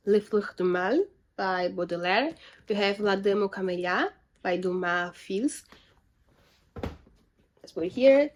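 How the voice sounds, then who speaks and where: A young woman chatting casually about her books in a room lined with bookshelves, rambling and correcting herself as she goes. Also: medium pitch. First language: not French. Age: young adult. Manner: chatty, self-correcting, animated asides. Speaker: book vlogger. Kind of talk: casual chat.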